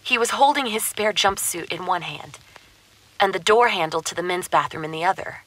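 An actress playing a shaken witness in an audio drama, recounting events in short, halting phrases. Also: female voice, lowish voice, fairly bright tone, rough recording. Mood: uneasy recounting. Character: shaken woman witness recounting what she saw.

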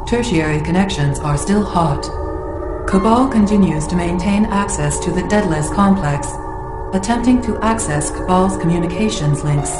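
A woman voicing a calm, precise military computer AI, giving measured, impersonal status reports in a game. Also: lowish voice, close to an everyday voice. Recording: echoing voice under loud music.

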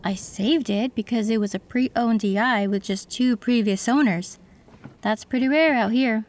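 A woman voicing a female cyberpunk tech who works on an android, calm and matter-of-fact, in an audio roleplay. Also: fairly high pitch, a little slow, natural voice.

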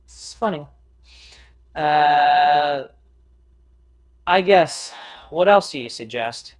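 Very slow synthetic man. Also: on the high side, animated. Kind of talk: synthetic voice sample reading.

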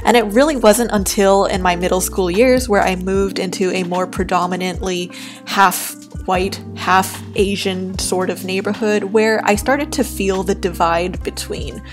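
A young woman from New York, whose was Cantonese, talks in a soft and reflective way. Her voice sits at a mid pitch, recorded close as a voice-over, with clear music playing behind her. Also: fairly even intonation, measured pace. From New York, USA. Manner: soft, thoughtful, candid. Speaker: art YouTuber. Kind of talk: reflective personal voice-over while drawing.

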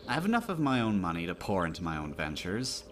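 A man playing a devoted, lovestruck young unicorn gentleman in a fan audio drama, speaking earnestly. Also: low voice, huge pitch swings, quick pace, a little hiss or hum. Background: music.

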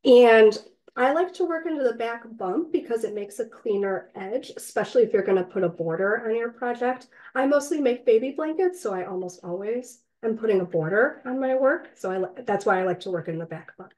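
A woman with a slightly raspy, cold-hoarse voice, patiently teaching a crochet class step by step and counting stitches aloud. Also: fairly high pitch, animated, a little fast, darkish tone, rough recording. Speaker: crochet instructor. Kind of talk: step-by-step craft tutorial. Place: indoors, online class.

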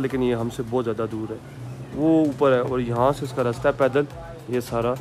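A man with a Pakistani accent gives casual travel vlog commentary on location, speaking into a handheld camera. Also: mid-range voice. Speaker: travel vlogger. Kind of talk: casual vlog commentary while showing sights. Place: on location while touring, mostly outdoors. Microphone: handheld camera or phone. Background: background music.

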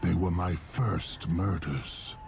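An actor playing a cold, bitter serial killer in a dark video game cutscene, with a gravelly voice and a menacing, deliberate manner. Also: male voice, noisy background, full character voice. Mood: brooding, menacing. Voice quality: gravelly, low. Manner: slow, menacing, deliberate monologue. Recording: loud music under the voice.

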